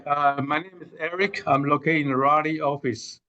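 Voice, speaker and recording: Taiwanese-born sales director, mandarin native, lots of uhs, muffled zoom audio. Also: male voice, a little high, fairly even intonation, bright, forceful tone. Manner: halting, many uhs. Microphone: video call. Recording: muffled video call audio.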